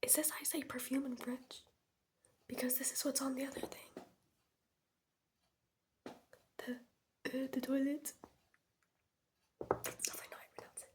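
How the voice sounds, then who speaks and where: A teenage girl whispering in a breathy voice, rambling casually and wryly in an ASMR storytime style with youthful slang. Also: sits high, level tone, fairly quick, pauses often. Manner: chatty, wry, youthful slang. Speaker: ASMR host showing products.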